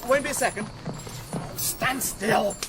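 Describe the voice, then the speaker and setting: An actor playing an urgent, deferential army dispatch rider in a period radio drama. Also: male voice, extremely high pitch, slow pace, music in the background, exaggerated voice. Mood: hurried, urgent. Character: breathlessly hurried, respectful young army dispatch rider.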